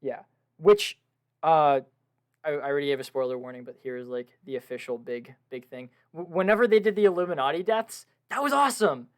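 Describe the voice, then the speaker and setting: Excited young man gushing about movies. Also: on the high side, a little fast, clear, resonant tone. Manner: enthusiastic, gushing, laughs often. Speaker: podcast co-host. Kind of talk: casual film review chat. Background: washing machine at home.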